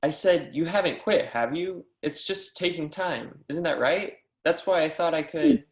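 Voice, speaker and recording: A man's voice: a little high, moderate pace, echoey room, natural voice. A man reading the part of an eager, persistent young mathematics professor, earnest and declamatory, in an amateur readers theatre. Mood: eager, hopeful.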